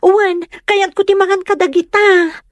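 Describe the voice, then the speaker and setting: A woman's voice: very high-pitched, steady tone, moderate pace, no echo. A woman playing a young pregnant wife who is needy, sweet and hesitant in a comedy audio drama. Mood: craving, coaxing.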